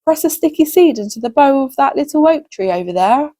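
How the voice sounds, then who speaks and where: A woman's voice: sits high, animated, a little fast, mellow, dry recording, clean studio sound. A woman voicing a curious, eager little boy pixie in a children's storybook. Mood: amazed. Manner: inquisitive, eager.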